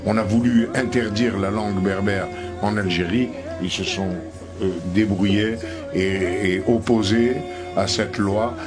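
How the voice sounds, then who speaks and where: An older man with a low voice talks in a hesitant, explanatory way, full of small fillers and restarts. Music plays clearly behind him throughout. He speaks as a guest on a televised panel. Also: fairly even intonation, a little slow. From Algeria.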